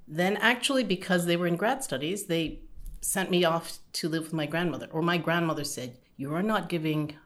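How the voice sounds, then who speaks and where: A middle-aged Canadian woman, an interview guest, telling her family's migration story in a relaxed, rambling way with frequent laughs. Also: fairly low pitch, normal speed. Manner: rambling, chatty, laughs often.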